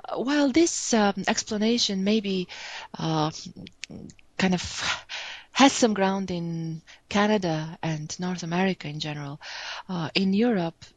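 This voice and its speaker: Woman giving a talk, lowish husky voice, lots of ums, dry sound. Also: measured pace, no echo. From Bulgaria. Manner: hesitant, many fillers, self-correcting. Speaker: academic speaker. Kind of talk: remote conference talk on statistics.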